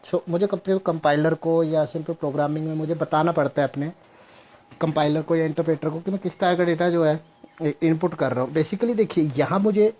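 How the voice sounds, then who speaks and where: A young man teaching a programming class on screen, slowly walking through code step by step and mixing Hindi with English. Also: fairly high pitch, a little mellow. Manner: slow, explains step by step, mixes Hindi and English. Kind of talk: screen-share coding lesson.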